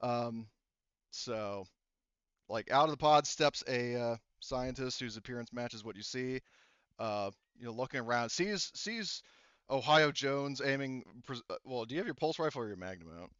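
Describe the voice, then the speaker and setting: A man acting as game master, narrating a tabletop roleplaying session casually and haltingly over an online voice call. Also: mid-range voice, expressive, a bit bright, smooth, dry recording. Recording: dull lo-fi voice-chat audio.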